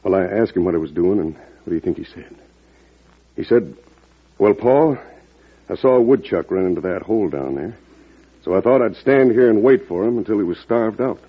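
A male actor playing a humble, plainspoken farmer in an old-time radio drama, talking in a halting, deferential, folksy way. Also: lowish voice, expressive, slightly rough. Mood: folksy storytelling. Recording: tinny, band-limited vintage radio recording.

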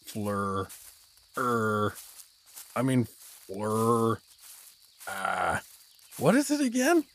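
A man's voice: lowish voice, very animated, extremely slow, a bit bright, heavily acted. A man playing a drunk, good-natured, bumbling dad adventurer in an audio drama, rambling and stumbling over words. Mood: tipsy.

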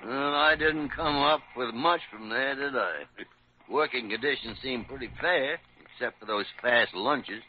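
Man acting a gruff, fatherly senior radio doctor. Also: mid-range voice, measured pace, a little hiss or hum, lightly acted. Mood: thoughtful. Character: seasoned male senior doctor, gruff, fatherly, matter-of-fact. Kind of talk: 1940s radio drama acting. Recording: dull, dry old radio recording.

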